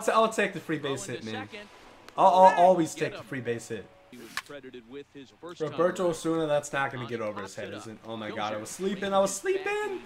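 A young man doing casual, excitable play-by-play over his own video game, a bit congested from a cold, in a small room at home. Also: high-pitched. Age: young adult. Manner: excitable play-by-play, calls hits like a broadcaster. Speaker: gaming YouTuber. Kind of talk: casual gameplay commentary.

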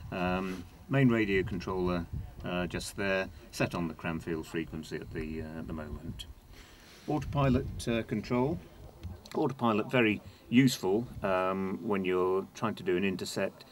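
An older man giving an informal guided technical tour as a pilot, explaining controls in a halting, matter-of-fact way. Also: low-pitched. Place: inside a small jet cockpit on the ground. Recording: loud outdoor ambient and vehicle noise.

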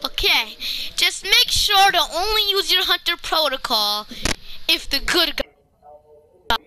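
A high, swooping voice acts out a video game roleplay at a slow pace. The speech is halting, with repeated words, over a noisy home recording. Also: very animated. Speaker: player acting out a roleplay.

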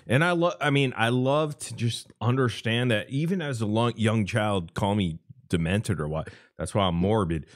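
A man's voice: on the low side, normal speed, few pauses. A man chatting casually as an interviewer, in informal, slangy, exclamatory speech full of swearing. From Los Angeles, USA. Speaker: interviewer, podcast host. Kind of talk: casual chat. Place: remote online call.

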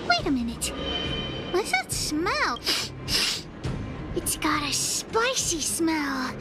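A bold little girl in a video game, boastful and self-important as she plays detective. Her voice is extremely high and swings about animatedly, referring to herself grandly in the third person. Prominent music plays behind her. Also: sing-song, takes it slowly, full character voice. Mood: pondering. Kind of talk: video game character acting.

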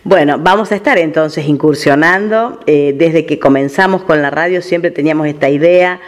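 A man with an Argentine accent hosts a radio interview in a conversational style. His voice is somewhat high for a man as he puts questions and comments to his guest. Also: moderate pace.

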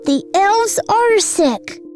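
A woman voicing a gentle, caring young child in a children's cartoon, sweet and earnest. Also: very high voice, lively intonation, drawn-out words, music in the background, heavily acted. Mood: caring, upbeat. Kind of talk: animated children's story acting.

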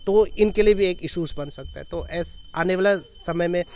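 A man's voice: high-pitched, normal speed, darkish tone, a little hiss or hum. A man in his thirties with a Northeast Indian accent from Arunachal, giving an earnest press statement outdoors and repeating phrases in lists for emphasis. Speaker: foundation chairman, activist. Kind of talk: press statement, spoken off the cuff. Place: outdoors in an open clearing with trees. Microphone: handheld reporters' microphones held at chest height.

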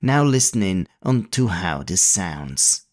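A man with a somewhat low voice talks through a technical walkthrough in an even, methodical way. He sounds like a hobby channel host reading a prepared voice-over. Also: slow pace. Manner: calm, methodical explaining. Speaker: retro computing video host. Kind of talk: scripted tutorial voice-over.

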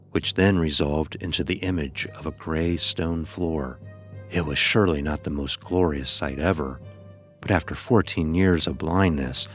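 Casting: male actor as anguished youth narrating dark fantasy horror, extremely low voice, grave and deliberate, music underneath. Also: exaggerated voice. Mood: shaken, overwhelmed. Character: anguished blind youth recounting a deadly ordeal. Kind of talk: scripted first-person horror narration.

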